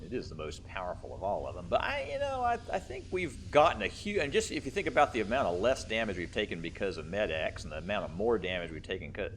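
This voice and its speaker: A man's voice: on the low side, a bit bright, slight background noise. A man giving casual, rambling commentary as he plays a video game, thinking aloud. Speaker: gaming video host.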